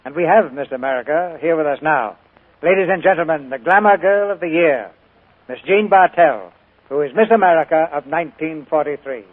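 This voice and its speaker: A man's voice: fairly high pitch, brisk, a little hiss or hum. A middle-aged man hosting a radio show, interviewing a guest in a polished, genial, teasing way. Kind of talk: scripted on-air interview. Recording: tinny 1940s radio broadcast.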